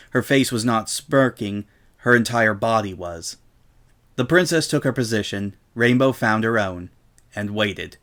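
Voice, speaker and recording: A man reading scripted story narration as the narrator of a dramatic reading. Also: medium pitch.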